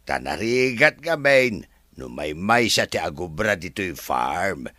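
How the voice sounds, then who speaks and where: A man's voice: low-pitched, big pitch swings, slow, sharp tone, no echo, clean studio sound, exaggerated voice. An actor playing a deferential male caretaker at a resort in a radio drama. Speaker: worker at the resort.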